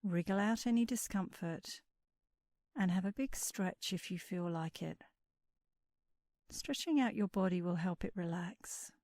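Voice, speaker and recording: Female meditation guide: very slow, soothing delivery, soft breathy phrase endings, close and dry sound. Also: on the low side, no room sound. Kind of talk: guided sleep meditation narration.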